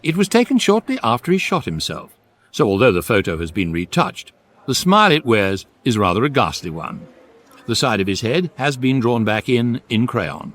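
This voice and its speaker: An actor playing a wry, deadpan British narrator of a space guide, delivering measured scripted comic narration for radio. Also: male voice, medium pitch. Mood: dryly amused.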